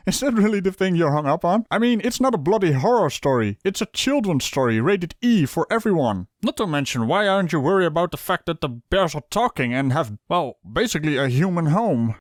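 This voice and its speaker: Sarcastic guy doing mocking reaction commentary, highish voice swinging all over, super dry close sound. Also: big pitch swings, a little fast, no echo. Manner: sarcastic, teasing, theatrical. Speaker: reaction video host. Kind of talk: mocking running commentary on a played clip.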